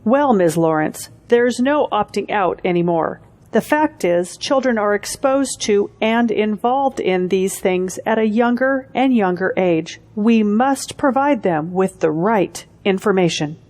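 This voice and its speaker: A woman playing a seasoned school principal in an audio drama, formal, measured and authoritative. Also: mid-range voice, lively intonation, darkish tone, close to an everyday voice. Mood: firm, patronizing.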